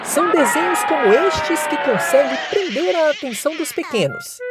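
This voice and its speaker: A woman reporter reading a scripted news narration with a lively broadcast delivery. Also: high voice, normal speed, over loud music.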